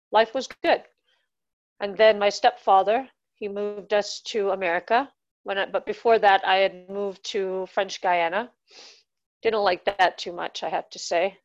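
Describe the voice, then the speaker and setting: A woman's voice: medium pitch, dull audio. Barbadian-born woman telling her story. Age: 50s. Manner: conversational, frequent ums, unhurried. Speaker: speaker at a talk. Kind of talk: personal storytelling talk.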